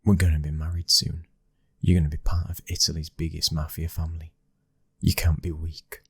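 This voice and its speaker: A man's voice: rumbling low, little pitch movement, moderate pace, slightly rough, no room sound, clean studio sound, exaggerated voice. A British man playing a cold, commanding young mafia boss's son in an ASMR roleplay, terse and domineering. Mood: menacing, commanding.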